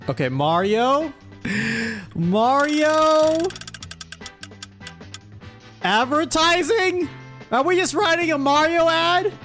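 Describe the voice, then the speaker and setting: Guy streamer with a high voice, exasperated joking commentary, music faintly behind him. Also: expressive, extremely slow, long pauses, fairly bright tone. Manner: exasperated, playfully scolding. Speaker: streamer host. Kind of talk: casual comedic commentary, reading viewer submissions aloud.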